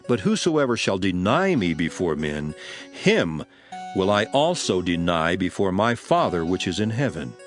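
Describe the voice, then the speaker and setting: Solemn low-voiced master, sweeping intonation. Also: male voice. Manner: measured, declamatory, emphatic preaching delivery. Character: solemn male religious master commissioning his disciples, authoritative and earnest. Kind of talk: dramatized King James scripture reading. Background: music behind the voice.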